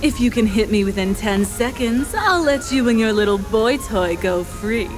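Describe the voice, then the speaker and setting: Flirty cartoon villainess female actor, high and animated, menacing in a playful way. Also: moderate pace, soft background music, lightly acted. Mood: playful menace. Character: gleefully sadistic, flirty female assassin. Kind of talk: comedic anime parody voice acting.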